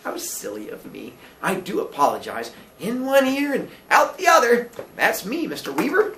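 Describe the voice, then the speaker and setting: A reader voicing a dotty, genteel English landlady from a story, fussy and sweetly coaxing in a dainty, drawn-out way. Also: medium pitch, huge pitch swings, pushed and bright, echoey room, slight background noise, voice pushed up, full character voice. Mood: flustered, apologetic.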